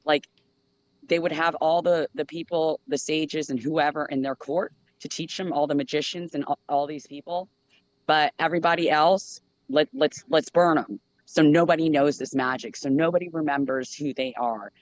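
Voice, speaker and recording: A man's voice: a little high, steady tone, moderate pace, dry recording, low-quality recording. A man speaking as a guest panelist, telling a long personal account earnestly, with many fillers and restarts.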